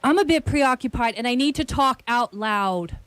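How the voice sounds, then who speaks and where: A woman's voice: fairly high pitch, expressive, normal speed, fairly bright tone, clear, resonant tone, dry recording. A woman playing a grumpy, conceited detective in a comic radio play, with a pompous, sarcastic and theatrical delivery. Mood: haughty, preoccupied. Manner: pompous, dryly sarcastic, dramatic.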